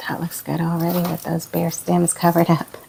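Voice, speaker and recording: A woman with a hoarse, fading voice, chatting casually and softly as a plant channel host while she repots. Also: on the low side, normal speed, a little hiss or hum, rough recording. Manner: relaxed, soft-spoken, many fillers. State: hoarse, losing her voice. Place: indoor plant room.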